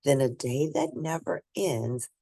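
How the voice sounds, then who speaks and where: A woman's voice: extremely low pitch, very animated, unhurried, dark tone, no echo, clean studio sound, exaggerated voice. A woman voicing a stubborn, scheming young boy who pleads and grumbles in a storybook reading. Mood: scheming, grumbling.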